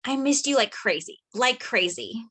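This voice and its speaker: A woman's voice: a little high, lively intonation, normal speed, sharp tone. A woman reading the part of a tactless young friend, speaking in a bubbly, nosy way. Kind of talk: screenplay table read.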